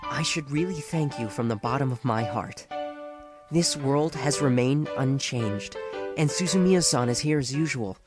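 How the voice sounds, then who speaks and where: A man playing a polite, enigmatic teenage schoolboy in an audio drama, speaking in a courteous, formal, measured way. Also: mid-range voice, close to an everyday voice. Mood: polite, grateful. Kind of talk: scripted audio drama dialogue. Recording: music behind the voice.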